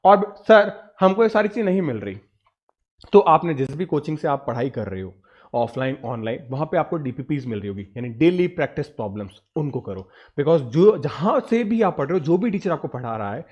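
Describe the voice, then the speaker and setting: High-pitched, emphatic Indian male teacher. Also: very animated, brisk, darkish tone, dull audio. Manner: emphatic, instructive, asks and answers his own questions. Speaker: exam coaching teacher. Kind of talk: motivational exam-preparation lecture mixing in English words.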